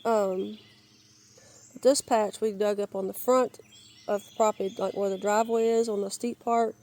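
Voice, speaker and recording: A woman talks her way slowly through her wooded yard, her voice raspy and crackly from a cold she is still getting over. Her delivery stays flat and mellow, full of restarts and searching for words, with birds calling in the open air behind her. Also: mid-range voice. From Georgia, US. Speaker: gardener giving a yard tour. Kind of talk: casual rambling walk-and-talk. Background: birds and outdoor ambience.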